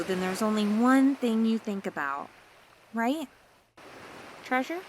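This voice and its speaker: A woman voicing a seductive, scheming mermaid in an ASMR roleplay, talking in a teasing, flattering, coaxing way. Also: on the high side, noisy background.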